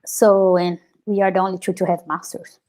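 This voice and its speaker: A woman's voice: on the low side, little pitch movement, moderate pace, dark tone. A woman in her thirties from Brazil with a Brazilian Portuguese accent, telling her personal story as an interview guest, earnest and reflective, with frequent "like"s. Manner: earnest, reflective, many filler "like"s. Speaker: guest, activist and scholar.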